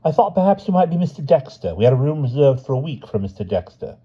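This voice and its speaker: A man voicing a polite, brisk male hotel porter with quick, formal politeness. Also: mid-range voice, very animated, slightly rough, muffled recording, pitched above the performer's own voice.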